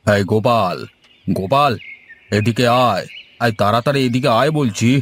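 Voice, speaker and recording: A man's voice: on the low side, normal speed, fairly bright tone, voice pushed down. A man voicing a stern, domineering landlord in a cartoon fairy tale, speaking in a commanding, condescending way, with music underneath. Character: stern, domineering male landlord zamindar demanding repayment.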